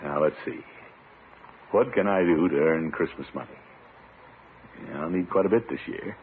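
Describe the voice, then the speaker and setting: An actor playing a pompous, easily flustered middle-aged town commissioner with a blustering, sputtering manner in an old radio comedy. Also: male voice, low voice, normal speed, lightly acted. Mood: pondering. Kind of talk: 1950s radio situation comedy acting. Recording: tinny, noisy old radio recording.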